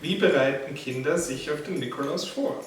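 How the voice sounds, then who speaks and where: A man's voice: on the high side, normal speed. A young man from Austria with an Austrian German accent, chatting casually and laughing between phrases. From Graz, Austria. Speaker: guest. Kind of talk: casual chat. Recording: echoey room, mic at a distance, faint music.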